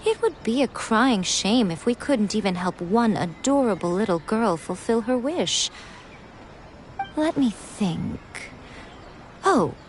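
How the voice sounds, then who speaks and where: A woman's voice: a little high, slight background noise. A woman voicing a sly, teasing lady publisher in a video game, playful, coy and self-assured. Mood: coy, reassuring.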